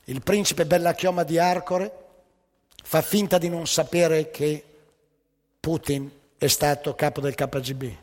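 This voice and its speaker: A man delivering a theatrical spoken monologue as a stage storyteller, rhetorical and emphatic, breaking his sentences into short phrases, with a gravelly, husky voice. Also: fairly high pitch, fairly even intonation, unhurried, darkish tone, in a large hall.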